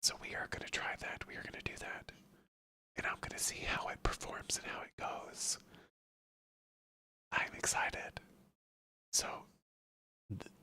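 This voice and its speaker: An ASMR streamer chatting casually in a hushed, breathy whisper that slips into a soft murmur, rambling and repeating phrases. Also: measured pace, pauses often. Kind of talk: ASMR, casual live chat.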